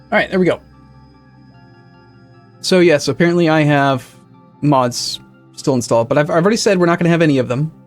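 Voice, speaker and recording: Streamer type: young man, slightly high voice, loose rambling chat, faint music underneath. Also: brisk, long pauses. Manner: rambling, self-correcting, reads and answers chat. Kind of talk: casual live-stream chatter while setting up a game.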